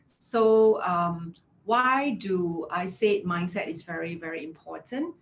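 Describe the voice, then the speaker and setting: A woman's voice: lowish voice, slow pace. A woman in her thirties from Malaysia with a Malaysian English accent, presenting a career webinar as an HR consultant, chatty and full of "yeah" and "right?". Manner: chatty, filler-heavy, affirming with "yeah" and "right?".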